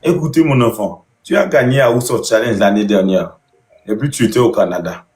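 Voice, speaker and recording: A man's voice: lowish voice, brisk, a little mellow. A man chatting casually as host, with a theatrical, boastful manner. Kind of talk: casual chat. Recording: roomy, echoey sound.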